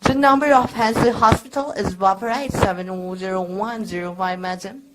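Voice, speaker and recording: Heavy noise and clicks surround a radio-play telephone operator, played by a woman. Her voice is mid-pitched, formal and impassive, with brisk sing-song announcements. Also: natural voice. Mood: businesslike. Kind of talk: radio drama acting.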